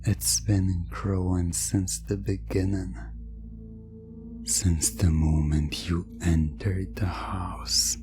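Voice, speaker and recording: Very slow, low-voiced male hypnosis narrator. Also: dark tone. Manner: slow, soothing, suggestive, with long pauses. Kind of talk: hypnosis roleplay ASMR, scripted second-person narration.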